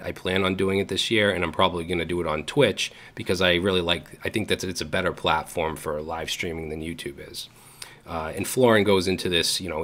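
A man with a Boston accent speaks in a deep, low register, chatting to his camera from a home studio. His delivery is relaxed and conversational, full of small fillers and restarts. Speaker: YouTube coding educator. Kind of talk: casual talk to camera. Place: home studio room with gaming chair.

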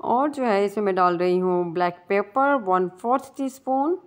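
A woman's voice: mid-range voice, normal speed. A woman giving a casual step-by-step recipe voice-over as a cooking channel host, mixing English words into her talk. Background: guitar music.

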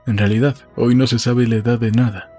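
A man's voice: mid-range voice, fairly even intonation, brisk, mellow, faint music behind, close to an everyday voice. Man acting subdued, uneasy Winston. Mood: pensive. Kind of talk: audiobook dialogue acting.

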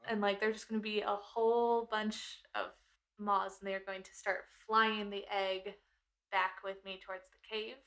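A woman's voice: medium pitch, little pitch movement, moderate pace, a bit bright. A woman chatting casually as a tabletop game player, thinking aloud as she describes her moves.

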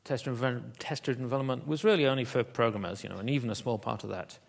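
A man giving a conference keynote in an auditorium, talking in a halting way with many fillers and restarts. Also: medium pitch, creaky, husky. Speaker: keynote speaker.

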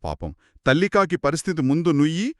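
A man telling a children's story in a conversational, expressive way, with exclamations and short questions. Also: on the high side, fairly quick, dry recording, studio quality.

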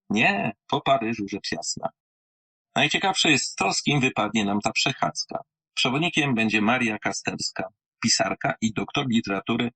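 A man reading and presenting literature in a theatrical, wry manner, with deliberate pauses and a husky voice. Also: lowish voice, a bit bright, rough recording.

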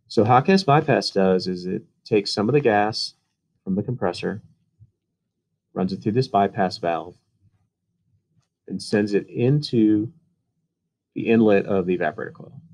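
A man with a low, mellow voice talks through technical slides in a relaxed voice-over. He explains things casually, with plenty of ums and uhs along the way. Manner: casual, uses fillers. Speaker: HVAC instructor.

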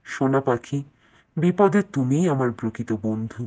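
A woman's voice: a bass voice, lively intonation, normal speed, mellow, voice pushed down, rough recording. A woman voicing a tender, grateful king in a storybook cartoon, speaking with affection and solemnity.

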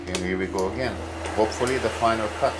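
A man giving a casual workshop demonstration, talking in a relaxed, halting way with many filler pauses, recorded on a camera mic in a home workshop. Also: fairly low pitch. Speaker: hobbyist machinist. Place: home workshop with running lathe. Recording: loud machine noise behind.

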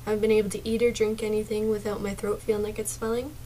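A young woman streaming a game from her small dark bedroom, chatting casually to viewers with a sore, partly lost voice and drifting into mumbles. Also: medium pitch, flat delivery, racing, a little hiss or hum, poor audio. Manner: soft-spoken, drifts into whispers and mumbles. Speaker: gaming streamer.

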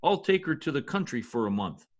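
Guy doing a melodramatic blustery husband, old radio style, husky and all over the place. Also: mid-range voice, sing-song, a little fast. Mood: alarmed, dramatic. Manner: theatrical, grandiose, overwrought. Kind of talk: old-time radio comedy acting. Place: home office, video call. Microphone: computer mic on video call.

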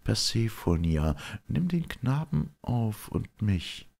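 A man's voice: on the low side, sing-song, slow, creaky, darkish tone, voice pushed up, heavily acted. A man voicing a sorrowful, resigned noble queen and mother, lamenting solemnly in verse. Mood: grieving.

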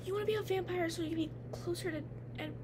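A woman's voice: extremely high pitch, quick pace. A young woman playing a haughty, jealous teen vampire girl in a comedic roleplay, blunt and sarcastic with whispered asides, recorded on a webcam in a dim bedroom. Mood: snide. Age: young adult. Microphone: webcam at close range. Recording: noisy home webcam audio.

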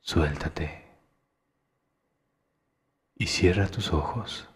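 A man narrating a guided meditation in a hushed, soothing, deliberate way with long pauses. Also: deep voice.

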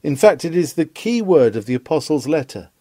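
A man's voice: fairly high pitch, big pitch swings, brisk, mellow, no echo, clean studio sound. A man reads a scripted sermon aloud in an earnest, emphatic, rhetorical manner. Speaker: sermon reader.

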